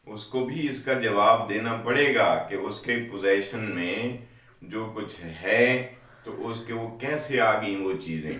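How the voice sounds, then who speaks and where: A man with a Pakistani accent speaks from well back in a very echoey room. His voice is fairly low and he goes slowly, deliberately rephrasing each point as a law lecturer would. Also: fairly bright tone. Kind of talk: explanatory law lesson mixing Urdu with English legal terms. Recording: very echoey room, distant microphone.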